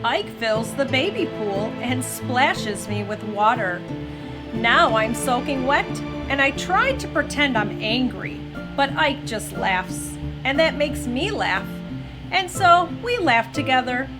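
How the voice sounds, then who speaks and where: A woman reading a children's story aloud in an expressive, gentle storytelling manner. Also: sits high, slow. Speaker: mother reading aloud on a family story-time show. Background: background music.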